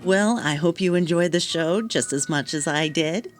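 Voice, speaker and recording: A woman's voice: on the low side, moderate pace, faint music behind. An American woman speaking as a podcast host in a narrated sign-off style.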